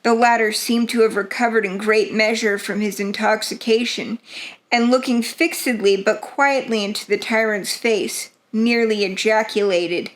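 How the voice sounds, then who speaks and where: A woman giving an atmospheric, theatrical narration of a gothic horror story, in a deliberate storytelling manner. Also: a little high, steady tone.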